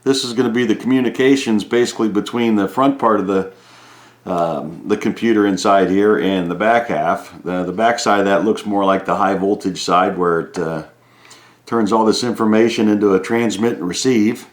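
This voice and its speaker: A man giving a casual hands-on repair walkthrough, halting as he thinks aloud while he works. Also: lowish voice, steady tone. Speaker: DIY repair video host. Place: indoors at a home kitchen countertop. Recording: echoey hard-surfaced room.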